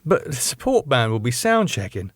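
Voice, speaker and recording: An eager young British band manager, as a man reads him, persuasive and earnest. His pitch bounces about lively, and the recording is dry and close. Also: normal speed, dry recording.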